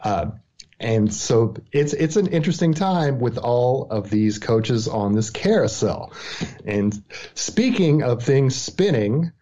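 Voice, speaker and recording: A middle-aged man co-hosting a podcast, giving casual, measured commentary on sports and culture over low-fidelity audio. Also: expressive, warm tone, lo-fi sound. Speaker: podcast co-host.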